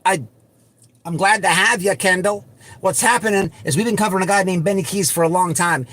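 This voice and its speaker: A man hosting an unscripted livestream, talking bluntly and repetitively to his viewers, with halting false starts. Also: on the high side, fairly bright tone. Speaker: livestream host.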